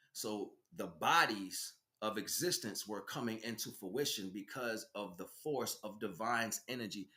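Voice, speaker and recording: A man's voice: on the low side, pushed and bright. A man giving an improvised spiritual lecture in an emphatic, preaching way, repeating phrases and posing rhetorical questions. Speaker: spiritual teacher.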